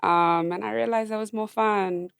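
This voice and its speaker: Young female guest, deep and smooth, relaxed and giggly, dry studio. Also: moderate pace, dry recording. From South Africa. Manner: laid-back, laughs often, slangy. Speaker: podcast guest singer. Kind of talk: casual chat.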